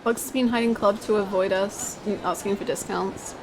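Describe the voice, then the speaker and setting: A woman in her twenties chatting casually as she livestreams a video game, with an expressive, giggly, exclamatory way of talking. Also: mid-range voice, moderate pace, background noise. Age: 20s. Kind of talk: casual livestream chat over gameplay, reading out comments. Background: video game music.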